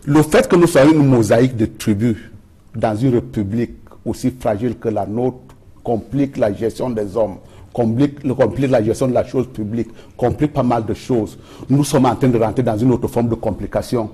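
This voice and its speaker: A man's voice: medium pitch, normal speed, warm tone, husky. A man from Cameroon, a guest on a political debate panel, pressing his point and repeating phrases for emphasis.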